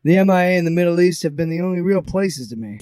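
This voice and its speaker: A man's voice: high voice, lively intonation, fast talker, darkish tone, smooth, dry recording, clean studio sound. A man playing a defiant, cheeky young metahuman in an audio drama, talking in a casual, sardonic way.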